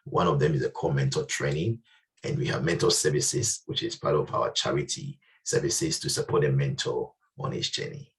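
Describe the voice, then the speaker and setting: A man giving a charity presentation on a video call, speaking hesitantly and filling pauses with ums and you-knows. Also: extremely low pitch, fairly bright tone, recorded from a distance. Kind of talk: online presentation.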